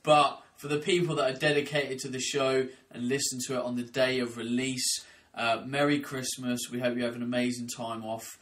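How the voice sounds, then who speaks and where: A blunt man hosting a podcast in loose, chatty talk. His voice sits at an ordinary pitch for a man. He sounds a little far from the mic. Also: little pitch movement, moderate pace, fairly bright tone. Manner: blunt, chatty, says mate. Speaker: podcast host. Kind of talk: casual podcast chat.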